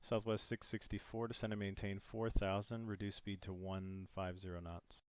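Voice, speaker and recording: Deep voiced guy playing atc, formal measured phrasing, dry close sound. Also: flat delivery, smooth, dry recording. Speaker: player as air traffic controller. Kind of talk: simulated air traffic control instructions.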